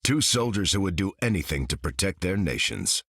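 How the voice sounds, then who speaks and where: A man playing a gruff, steadfast veteran soldier in a video game, with an American accent and a tough, plain-spoken manner. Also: sits low, very animated, moderate pace, a bit bright, no echo, studio quality, heavily acted. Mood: gruff, sincere. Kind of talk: video game character voice acting.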